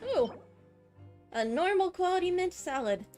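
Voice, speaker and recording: A woman's voice: extremely high pitch, animated, measured pace, a little mellow, faint music behind. A woman giving casual, reactive gameplay commentary, thinking aloud as she plays. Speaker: game streamer.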